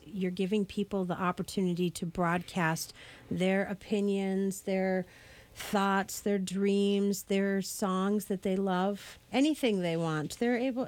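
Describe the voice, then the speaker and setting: A woman co-host makes an enthusiastic pledge appeal on a community radio show. Her voice sits in a middle pitch, and she talks fluidly and persuasively. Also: darkish tone. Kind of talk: fundraising appeal.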